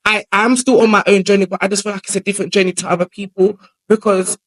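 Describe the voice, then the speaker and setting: A man's voice: high voice, flat delivery, fast talker, a bit bright. A young man with a feminine voice, talking at length as an interview guest in a casual, rambling way. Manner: chatty, rambling, explains at length with filler phrases.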